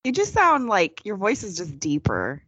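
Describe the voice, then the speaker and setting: A woman's voice: medium pitch, wildly swooping pitch, normal speed, a bit bright, slightly rough, dry recording. A woman co-hosting a podcast in casual chat, giggling often and drawling her fillers. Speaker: podcast co-host.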